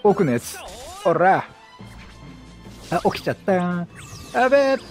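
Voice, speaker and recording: A man doing chatty game commentary, animated, talking to himself and to the characters on screen. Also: sits high, a little slow, faint music behind. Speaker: gameplay commentator.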